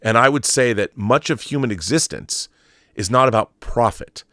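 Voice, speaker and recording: American man, medium pitch, dry room sound, casual opinionated monologue, rambling, emphatic repetition, livestream host. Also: animated, moderate pace, sharp tone, dry recording. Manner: rambling, emphatic, repeats phrases for stress.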